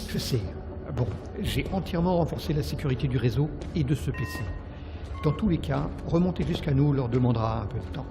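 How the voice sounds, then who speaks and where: A man's voice: mid-range voice, expressive, a little fast, lightly acted. A man playing a determined computer hacker in an audio drama, confident and resolute. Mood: determined, focused. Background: music.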